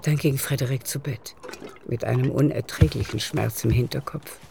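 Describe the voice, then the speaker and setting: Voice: mid-range voice, fairly even intonation, moderate pace, gravelly, background noise. A narrator reading a literary radio play in scripted storytelling style. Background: music.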